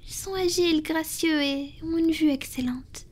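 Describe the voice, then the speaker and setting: Woman playing a whispering, tender vampire lover, high-pitched. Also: close to an everyday voice. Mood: calm, confident. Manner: soft, intimate, breathy whispering with slow pauses. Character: tender, affectionate female vampire comforting her lover. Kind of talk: whispered ASMR roleplay.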